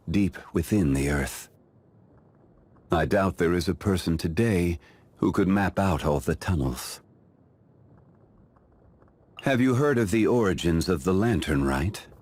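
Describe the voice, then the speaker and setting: A man playing an ancient, calm, dignified gentleman in a video game, speaking in a formal, measured, reflective way. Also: sits low, breathy edge. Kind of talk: scripted video game character voice lines.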